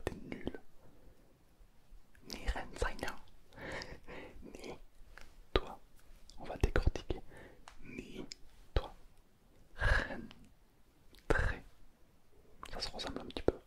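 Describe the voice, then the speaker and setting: A man whispering a playful, self-mocking ASMR roleplay as a pretend Mandarin teacher, close to the mic.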